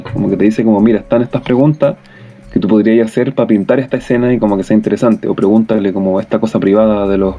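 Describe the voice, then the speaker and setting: A Chilean man with a lowish, very soft-edged, dark voice. He explains casually, wandering through his thoughts and seeking agreement with tag questions. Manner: tentative, meandering, many tag questions. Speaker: podcast speaker.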